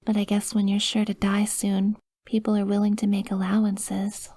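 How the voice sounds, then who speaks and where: A woman playing a sweet, awkward, wistful young woman who is dying and quietly obsessive, in a soft, hesitant, intimate ASMR roleplay. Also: mid-range voice, monotone, normal speed, dry recording, close to an everyday voice. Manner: soft, hesitant, gushing, at times dropping to breathy murmurs. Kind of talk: ASMR audio roleplay, intimate one-sided dialogue.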